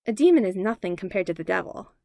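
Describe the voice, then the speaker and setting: A woman narrating a fanfiction audiobook in an expressive read-aloud manner on a close mic. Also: mid-range voice, fast, gravelly, dry recording. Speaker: fanfiction podfic reader. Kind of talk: storybook narration.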